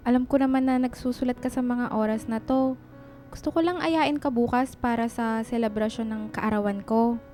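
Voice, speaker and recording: A woman's voice: a little high, normal speed, dark tone, soft background music, natural voice. A woman playing a cheerful, caring and teasing young best friend in a radio drama.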